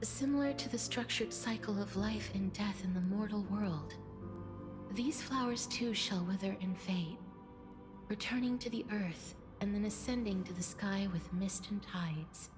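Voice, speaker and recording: A woman voicing a gentle young demigod of death in a video game, softly and breathily, with a measured, solemn tenderness. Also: medium pitch, close to an everyday voice. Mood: wistful, serene. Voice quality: soft, breathy, whispery. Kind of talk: dramatic video game voice acting. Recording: music under the voice.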